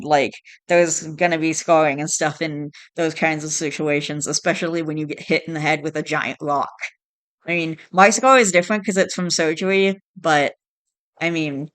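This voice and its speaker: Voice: mid-range voice, fairly bright tone, smooth. A host with a stuffed-up nasal voice who reads online posts aloud in a casual, rambling way, with sighs and self-corrections along the way. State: congested, stuffed-up nose.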